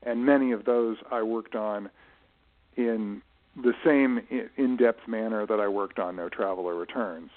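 A man giving thoughtful, explanatory interview answers in a halting way, often restarting and correcting himself as he goes. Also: fairly low pitch, narrow, tinny audio. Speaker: guest, writer managing his late father's literary estate. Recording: band-limited radio-style voice recording.

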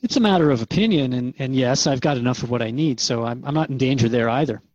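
A man's voice: mid-range voice, quick pace, darkish tone, no echo. A man in a conversational radio drama, asking and answering questions in a hesitant, reflective way. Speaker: interviewer in a radio drama series. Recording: dry, slightly dull audio.

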